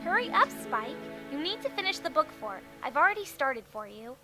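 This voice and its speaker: A woman's voice: high-pitched, sing-song, brisk, loud music behind the voice. A woman voicing cute female cartoon ponies, cheerfully unhinged and bossy, in a parody dub.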